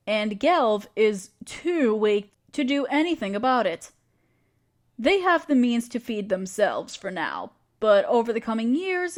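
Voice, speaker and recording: Earnest young-hearted necromancer played by a woman, very lively. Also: a little high. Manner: conversational, reassuring, explanatory. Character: earnest, caring female necromancer with a grand plan. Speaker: voice actor in a roleplay audio. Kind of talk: scripted audio roleplay spoken to the listener.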